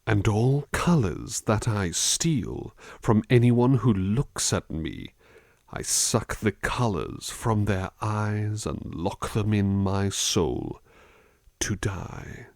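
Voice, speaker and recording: A man playing a gentle, reflective butler in a soft-spoken ASMR roleplay, musing slowly and thoughtfully, at times dropping into a near-whisper. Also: on the low side, lively intonation, creaky, no echo, clean studio sound, heavily acted. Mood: hushed reciting. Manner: hesitant, thoughtful, musing, unhurried. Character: gentle, reflective male butler reading poetry to his charge.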